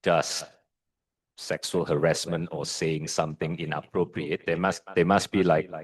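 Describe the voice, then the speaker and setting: Low husky man with an Indonesian accent. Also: flat delivery, low-quality recording. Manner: measured, hesitant, many fillers and restarts. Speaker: guest lecturer. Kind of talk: answering audience questions at a lecture.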